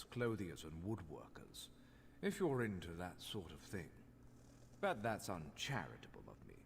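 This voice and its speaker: Haughty master craftsman, lively pitch, reverberant. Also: slight background noise, exaggerated voice. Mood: grudging. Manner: formal, measured, instructional. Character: haughty scholarly male master craftsman trainer, formal and businesslike. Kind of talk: fantasy video game voice acting. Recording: long hall reverb, faint music behind.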